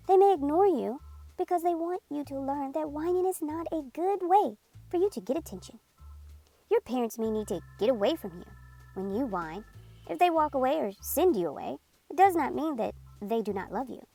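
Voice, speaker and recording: A woman reading a children's picture book aloud in a clear, gently instructive way. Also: sits high. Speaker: storyteller.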